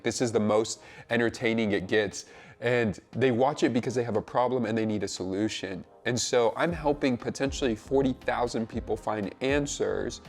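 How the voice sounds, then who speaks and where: Chatty congested man vlogging at home. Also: mid-range voice. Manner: upbeat, chatty, emphatic with frequent "literally". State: slightly congested from a cold. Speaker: YouTube creator and web developer. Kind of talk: casual vlog talk to camera. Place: two-bedroom home in California, filming on the floor.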